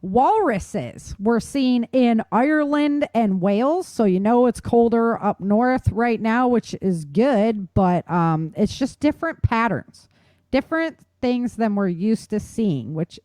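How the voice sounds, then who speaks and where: A woman with a mellow, dark-toned voice talks as a show co-host. She comments on the news in a conversational but opinionated and emphatic way. The sound is dry, with very little room around her. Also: fairly high pitch, expressive, a little slow, dry recording. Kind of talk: informal news commentary and chat.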